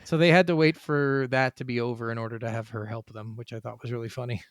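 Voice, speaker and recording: A man's voice: mid-range voice, steady tone, quick pace, no room sound, clean studio sound. A man co-hosting a podcast in casual chat, working through his notes with rambling, sometimes profane asides. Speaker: podcast co-host.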